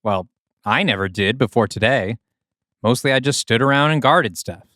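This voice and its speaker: A man's voice: medium pitch, animated, moderate pace, bright, forceful tone, no room sound, studio quality. A man voicing a nervous, wisecracking young male soldier who rambles and pleads. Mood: pleading.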